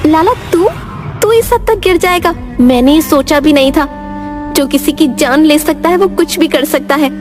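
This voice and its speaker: A woman's voice: high-pitched, normal speed, voice pushed up, lightly acted. A woman voicing a feisty, fearless young sweet seller in a cartoon story, defiant and taunting. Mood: indignant. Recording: music behind the voice.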